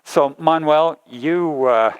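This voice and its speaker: A man's voice: fairly high pitch, takes it slowly, clear, resonant tone, no echo. A man interviewing a church member, hesitant and repeating words as he asks questions.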